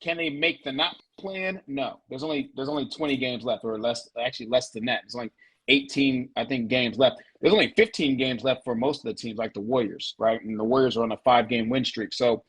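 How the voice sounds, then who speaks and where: A man's voice: mid-range voice, a little fast, fairly bright tone, slightly rough, rough recording. A man talking sports as a guest on a casual show, laid-back, often repeating words as he works out his point.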